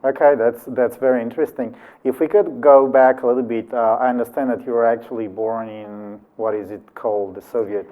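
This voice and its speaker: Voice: medium pitch, fairly even intonation, a little fast, hardly any pauses. Interview host on a tinny line. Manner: encouraging, with frequent back-channel replies. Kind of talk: interview questions. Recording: tinny phone-like sound.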